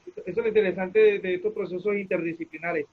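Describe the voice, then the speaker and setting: A man's voice: high-pitched, fairly even intonation, fast. A man interviewing in a conversational way. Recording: thin phone-like call audio.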